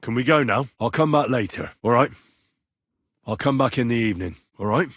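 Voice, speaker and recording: Young male medieval adventurer for a video game: polite, plucky, husky, animated delivery. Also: medium pitch, fairly quick, no echo, studio quality. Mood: conversational. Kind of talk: video game character dialogue.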